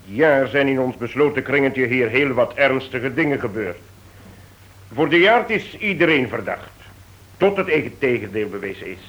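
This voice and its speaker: An actor playing a composed, authoritative gentleman detective in a radio drama, courteous and measured as he questions people. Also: mid-range voice, expressive. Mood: probing. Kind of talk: Dutch radio play acting. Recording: dull old radio recording.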